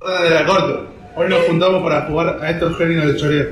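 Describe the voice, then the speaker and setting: A comic radio-play judge acted by a man with a fairly high voice. The amateur recording is echoey, with clear noise around the words. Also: fairly even intonation, brisk, close to an everyday voice. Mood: mock-solemn. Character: judge opening a comic mock trial. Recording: echoey, noisy room with clicks.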